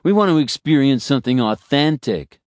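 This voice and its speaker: A man voicing a jovial, pushy male roadie, raising his voice high and loud in a breezy, casual way. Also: animated, normal speed, no room sound, close to an everyday voice.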